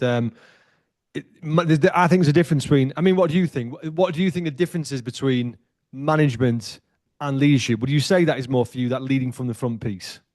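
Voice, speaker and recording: A talkative man of forty hosts a podcast, chatting loosely and circling back on his words. His voice sits at an ordinary middle pitch. The sound is dry and close, with no room to it. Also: no room sound. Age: 40s. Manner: chatty, loose with many restarts and fillers. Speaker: podcast host. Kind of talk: casual interview chat.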